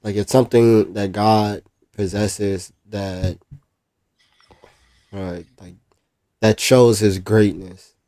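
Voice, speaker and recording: A man's voice: on the low side, steady tone, takes it slowly, pauses often, warm tone, no echo. A man making the case for his faith in a live online debate, explaining step by step and checking in with "right?".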